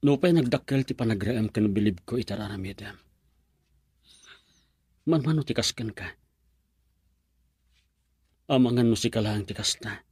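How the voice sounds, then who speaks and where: A man's voice: on the low side, husky, no echo. A man playing a devoted young suitor in a radio romance drama, speaking in an earnest, pleading manner. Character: earnest devoted young male suitor, hard-working architect.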